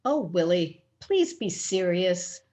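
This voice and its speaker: Woman playing slow-spoken sweet young daughter. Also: lowish voice, sing-song, darkish tone. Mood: worried. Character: sweet, earnest, anxious young daughter in love. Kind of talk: old-time radio drama acting. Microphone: video call.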